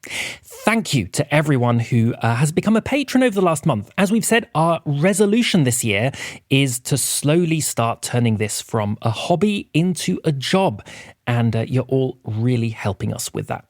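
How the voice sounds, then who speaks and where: Guy hosting a podcast, really animated intonation, mid pitch, dry close sound, scripted intro. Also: moderate pace, dry recording. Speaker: podcast host.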